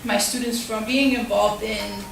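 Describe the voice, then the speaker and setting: A woman's voice: mid-range voice, fairly even intonation, measured pace, gravelly, background noise. A young woman teacher and community organizer giving a casual forum talk, full of fillers and tag questions. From Tucson, Arizona. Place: school hall. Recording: echoey hall, far from the mic.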